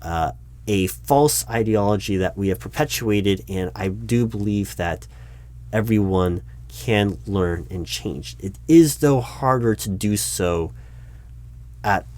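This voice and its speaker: A man playing a polite, awkward corporate recruiting manager in a one-sided interview roleplay, speaking out loud with a hesitant, stammering, formal manner. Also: lowish voice, slow. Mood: earnest, rambling. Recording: steady background noise, keyboard typing. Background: computer keyboard typing.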